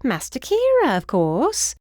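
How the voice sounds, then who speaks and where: A woman's voice: sits high, wildly swooping pitch, slow, darkish tone, dry recording, clean studio sound, pitched above the performer's own voice, heavily acted. A woman voicing a short, plump, cheerful older housekeeper with a British accent and a rambling, effusive, fussy manner in an audiobook. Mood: chatty, eager.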